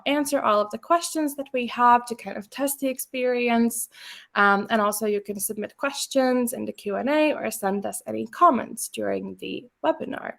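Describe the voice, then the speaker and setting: A woman's voice: fairly high pitch, vocal fry. A woman hosting a webinar as a content marketing specialist, talking in a casual, friendly way with lots of fillers. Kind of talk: presenting a webinar.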